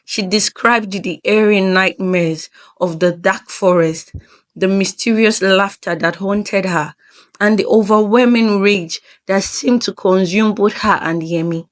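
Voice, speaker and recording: Slow, solemn woman storyteller. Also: mid-range voice. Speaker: storyteller, narrator. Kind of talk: dramatic scripted folktale narration.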